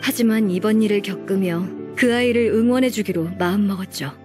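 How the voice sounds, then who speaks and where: An actress plays an earnest young woman secretary in a video game. She speaks politely and from the heart at a medium pitch, with some shy hesitation and respect for her senior. Music sits faintly behind her. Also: brisk, close to an everyday voice. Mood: tender, hopeful.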